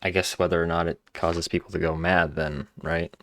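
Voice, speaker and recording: Deep-voiced man musing over game. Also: little pitch movement, normal speed, fairly bright tone, a little hiss or hum. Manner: informal, musing aloud. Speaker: gaming video host. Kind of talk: casual game commentary. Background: faint game music.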